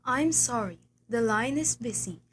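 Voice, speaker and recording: A woman's voice: a little high, lively intonation, measured pace. A young woman playing a polite, unmoved telephone operator in a radio play, speaking in formal set phrases. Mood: polite, impassive. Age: teenager.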